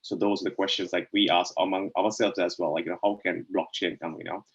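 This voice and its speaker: A low-pitched man from Sri Lanka speaks as an interview guest, circling his points with "like, you know" and tagging them with "right?". The sound is a little muffled and lo-fi. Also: flat delivery, fast talker, gravelly. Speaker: guest, DeFi co-founder. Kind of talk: casual interview answers.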